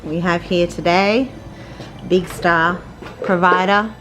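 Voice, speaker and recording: A woman's voice: fairly low pitch, fairly even intonation, slow pace, fairly bright tone, poor audio. A woman hosting an interview, asking short, friendly questions. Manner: friendly questioning, brief prompts. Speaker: interview host. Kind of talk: casual interview.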